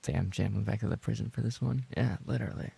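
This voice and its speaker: A lowish male voice, thick with fry and very husky. He is a streamer talking casually to his chat, rambling along with playful banter. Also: fairly even intonation, fast talker, mellow. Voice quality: gravelly, husky. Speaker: livestreamer and ASMR voice actor reading chat.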